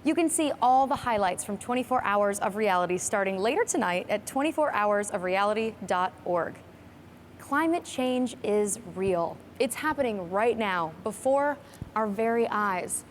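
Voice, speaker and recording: A woman's voice: mid-range voice, lively intonation, normal speed. A woman hosting a program, presenting scripted segments to viewers. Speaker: program host. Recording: clear background noise.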